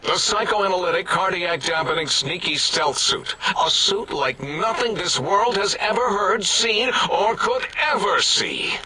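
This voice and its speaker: A grandstanding robot scientist with a high, piercingly bright voice. It swoops dramatically through ominous, drawn-out pronouncements for a video game, with faint noise. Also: male voice, animated, exaggerated voice, rough recording. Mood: boastful, dramatic. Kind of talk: video game character acting.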